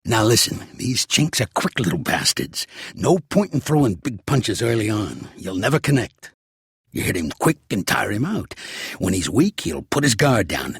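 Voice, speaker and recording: Older gangster, American accent, heavy gravel and fry, dry close sound, gruff, slangy, video game character. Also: male voice, fairly high pitch, bright, forceful tone, no room sound, exaggerated voice. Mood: coaching, gruff. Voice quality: raspy, gravelly. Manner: blunt, slangy, commanding. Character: gruff, streetwise older mobster mentoring a young fighter. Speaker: voice actor.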